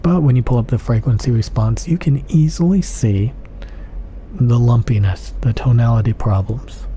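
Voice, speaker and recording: A man talking straight into a close studio mic in a quiet home recording room. His talk is emphatic and repetitive, with long strings of adjectives and some stretches dropping into a whisper. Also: medium pitch, moderate pace, a little hiss or hum. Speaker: audio gear reviewer. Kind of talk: casual spoken monologue. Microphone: close-up studio condenser mic.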